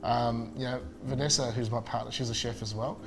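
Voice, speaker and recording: A man's voice: mid-range voice, fairly even intonation, fairly quick. A man, a chef chatting casually and enthusiastically in an interview, with many fillers and restarts. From Spain.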